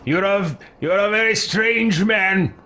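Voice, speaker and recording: A man voicing a haughty, scornful, power-hungry mage in an imperious, drawn-out manner while roleplaying a video game. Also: high voice, sharp tone, pitched above the performer's own voice, heavily acted. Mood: condescending.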